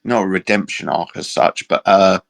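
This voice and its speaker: A man with a lowish voice discussing comic characters. He lays out his reasoning thoughtfully, with touches of dry humor. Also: normal speed, creaky. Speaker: channel co-host. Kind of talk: casual geeky chat.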